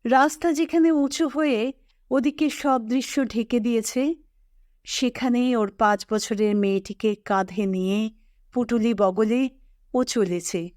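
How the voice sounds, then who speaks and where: A woman narrating a literary audio story in a measured, expressive storytelling manner. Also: on the high side, dry recording, studio quality.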